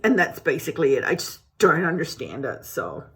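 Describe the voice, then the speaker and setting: A woman's voice: low-pitched, sing-song, fairly quick, a bit bright, husky. A woman who grew up in Malaysia, chatting to camera at home in a rambling, casual way with many restarts. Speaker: beauty vlogger. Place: home, indoors.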